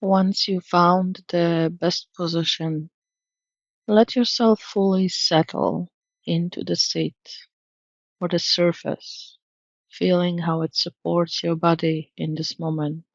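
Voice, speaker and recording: A woman leads a guided meditation at a very unhurried pace. Her voice has a noticeable creak and a gentle, soothing delivery. The recording is dry and close, with a slightly muffled tone. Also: on the low side, steady tone, no echo. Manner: soothing, gentle, measured instruction.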